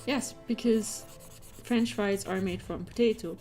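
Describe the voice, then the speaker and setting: A woman's voice: medium pitch, a little slow, darkish tone. Woman chatting casually over music. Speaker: livestream host. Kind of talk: casual chat, answering silly questions. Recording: music behind the voice.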